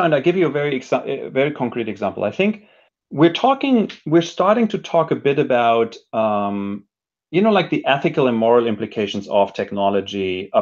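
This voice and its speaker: A man speaks as an interview guest in a mid-pitched voice over slightly dull, lo-fi audio. He is reflective and explanatory, picking his way through ideas with restarts and pauses in a conversational style. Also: a little mellow. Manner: reflective, hesitant, frequent self-restarts and hedging.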